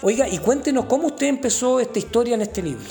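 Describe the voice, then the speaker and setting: A woman's voice: fairly high pitch, animated, fast talker, vocal fry, mellow, soft background music. A woman hosting a mock talk-show interview, mock-formal and full of hesitant fillers. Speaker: host.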